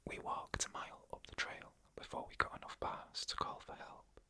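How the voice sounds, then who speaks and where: A narrator telling scary first-person stories in a soft, hushed, deliberate ASMR whisper.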